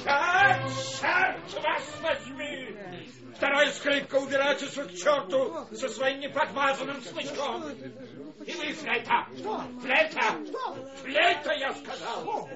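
A woman playing a furious, tyrannical orchestra conductor in a radio play, shouting imperiously and in exasperation at the musicians. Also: high voice, lo-fi sound. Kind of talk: theatrical radio play acting.

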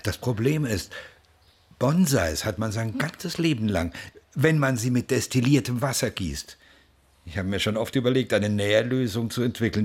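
An actor playing an unflappable old shopkeeper and father in a radio play, calm and dry with a husky voice. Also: medium pitch, close to an everyday voice. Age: older. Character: unflappable old father running a bonsai shop. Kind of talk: naturalistic radio play acting.

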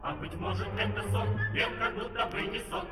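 An actor singing as an astonished, flattering courtier in a Soviet children's comic opera. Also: male voice, high-pitched, a little fast, over loud music, lightly acted. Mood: awestruck singing. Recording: distant, echoing vintage record, loud orchestra.

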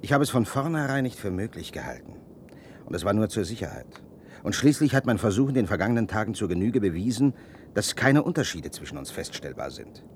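An actor playing a sarcastic, self-assured spaceship leader in a German radio play, with theatrical, deliberate phrasing. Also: male voice, on the low side, very animated, slight background noise. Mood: defensive.

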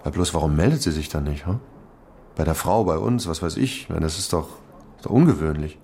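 An actor playing a weary police chief inspector who thinks cases through aloud in a radio drama. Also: male voice, very deep voice, lively intonation, a little fast, warm tone, slight background noise. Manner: thoughtful, musing, talks it through.

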